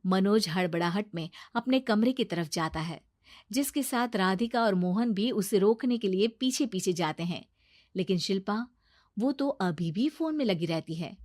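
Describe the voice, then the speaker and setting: A woman's voice: medium pitch, animated, moderate pace, a little mellow, dry recording, studio quality. A woman narrating a scripted children's story.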